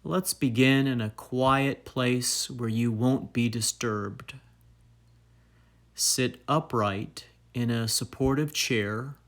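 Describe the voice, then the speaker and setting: A man narrating a guided meditation in a calm, soothing, deliberate way, leaving long pauses. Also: medium pitch. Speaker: meditation guide and fitness trainer.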